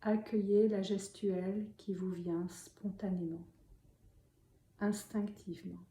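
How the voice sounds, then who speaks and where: A woman meditation teacher guiding a sitting meditation in a calm, soft, breathy voice that often nears a whisper, giving gentle instructions with long pauses. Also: medium pitch. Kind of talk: guided meditation. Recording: roomy echo, faint background noise.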